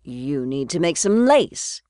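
A woman playing a stern, scheming stepmother who gives orders deliberately, in a fairy tale audio drama. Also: low voice, big pitch swings, mellow, no echo, clean studio sound, full character voice. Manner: deliberate, commanding.

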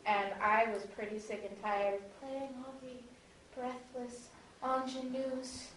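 A woman's voice: fairly high pitch, level tone, takes it slowly, a bit bright. A woman voice actor introducing herself informally as a panelist at a convention. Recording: echoey room, distant mic, faint noise.

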